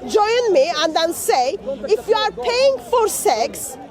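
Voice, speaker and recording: A woman's voice: very high-pitched, big pitch swings, slow. A woman debating outdoors in a public square, pressing the same question again and again. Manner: persistent, repeats her question. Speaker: street debater. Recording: loud crowd around.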